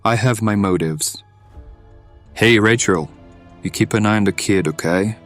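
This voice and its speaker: A man's voice: on the low side, a little slow, fairly bright tone, soft background music. A man playing a terse, commanding leader of a band of survivors in an audio drama.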